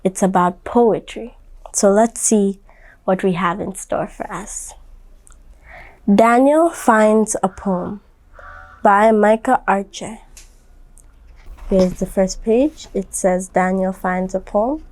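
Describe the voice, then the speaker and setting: A woman's voice: medium pitch, slow pace, pauses often, a little mellow, poor audio. A woman reading a picture book aloud to young children, explaining simply and putting questions to the listeners. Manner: simple, instructive phrasing with frequent questions. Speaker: volunteer children's book reader.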